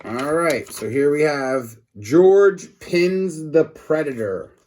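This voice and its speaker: A man reading a story aloud to children in an expressive, dramatic way, adding casual asides. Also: fairly high pitch, slow. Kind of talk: animated read-aloud narration with asides.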